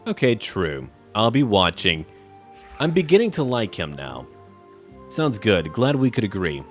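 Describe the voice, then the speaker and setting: A man's voice: lowish voice, sing-song, a little slow, faint music behind. A man giving casual, musing commentary as he plays a role-playing game and speaks dialogue choices aloud.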